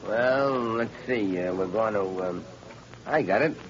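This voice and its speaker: A man playing a gruff, menacing gangster in a 1930s radio drama, blunt and tough-talking. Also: on the low side, a little slow, lightly acted. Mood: sly. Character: gruff red-haired gangster Red. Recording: dull old radio recording with hiss.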